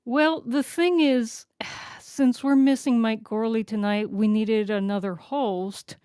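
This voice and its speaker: A woman's voice: fairly high pitch, fairly even intonation, slow, dark tone, clean tone, dry recording, clean studio sound. A woman co-hosting a live stream in casual conversation. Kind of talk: casual chat.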